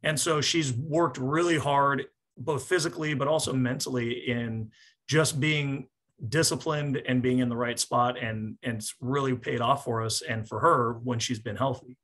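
A man in his forties with a bright, forward voice at a middling pitch. He talks like a coach after a match, explaining steadily and in measured phrases. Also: fairly even intonation. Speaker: volleyball coach. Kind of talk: post-match press conference answers. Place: small indoor office, on a video call.

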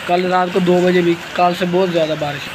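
A man's voice: sits high, flat delivery, fairly quick. A young man from Pakistan chatting to viewers in a casual vlog, filmed on a phone outdoors on wet streets. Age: young adult. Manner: chatty, addresses viewers directly. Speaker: vlogger. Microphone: phone camera. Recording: loud street noise.

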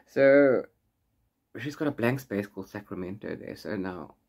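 A man in his forties giving a casual, unscripted book chat, rambling in an animated way with exasperated asides. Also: on the low side, unhurried. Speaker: book reviewer vlogger. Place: small room at home with bookshelves.

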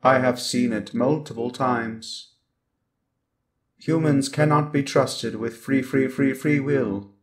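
Man acting a slow, glitching evil robot. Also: medium pitch, natural voice. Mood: cold contempt. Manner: deliberate, glitching repetitions of syllables and words. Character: evil sentient robot, cold, menacing, contemptuous of humans. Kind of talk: scripted ASMR roleplay monologue.

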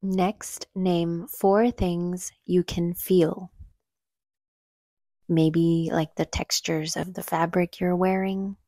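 A woman leading a guided meditation in a soothing, gentle, unhurried manner, with soft breathy phrase endings. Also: lowish voice, level tone, dry recording, clean studio sound. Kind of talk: calm guided meditation narration.

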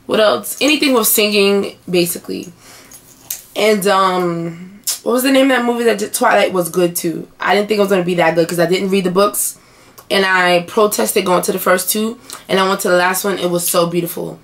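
A young woman vlogging in a small bedroom, hoarse and chatty as she answers tag questions to her webcam. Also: medium pitch, a little fast. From United States. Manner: chatty, animated hand gestures. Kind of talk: casual chat.

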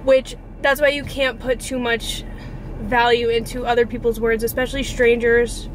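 A young woman with a high voice talks casually to the camera like a confessional vlogger. She is earnest and informal, with the odd mild swear. The recording is made in a car, with clear background noise around her voice. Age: 20s. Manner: earnest, informal, mildly profane. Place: inside a parked car.

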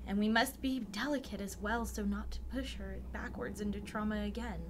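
A woman's voice: mid-range voice, steady tone, brisk, lightly acted. A woman as a careful ward caregiver, faint noise. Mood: careful, protective. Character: protective, careful female caregiver at a psychiatric ward. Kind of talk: radio drama acting. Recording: audible background noise.

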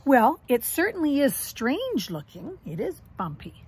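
A middle-aged woman voicing a caring, patient storybook mother bear who gently explains and reassures. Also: high-pitched, wildly swooping pitch, unhurried, husky, pitched above the performer's own voice, heavily acted. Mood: patient, reassuring.

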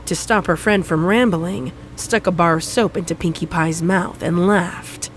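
A woman's voice: on the low side, unhurried, background noise. A woman reading a comic fan fiction story as scripted narration.

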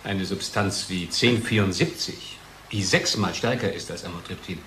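Man as a low-voiced, testy research chemist. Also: moderate pace, natural voice. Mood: indignant. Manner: dry, argumentative, explanatory. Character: testy, sardonic male company research chemist. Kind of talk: German radio drama acting. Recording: clearly noisy background ambience.

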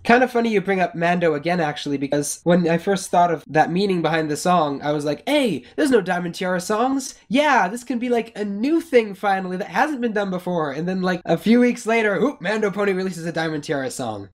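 A man's voice: sits high, lively intonation, brisk, few pauses. A man guesting on a casual podcast, chatty and self-deprecating as he talks about singing. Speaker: guest vocalist.

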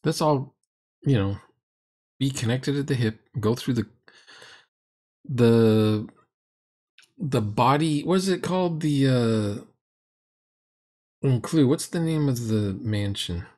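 A man's voice: mid-range voice, slow pace, pauses often, darkish tone. A middle-aged man giving blunt, mocking commentary as he reacts to a game, in a sarcastic manner. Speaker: host reacting to a recorded roleplaying game.

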